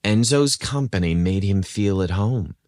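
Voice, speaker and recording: A man narrating a scripted romantic story in an intimate, unhurried way. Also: lowish voice, lively intonation, darkish tone, no echo, clean studio sound.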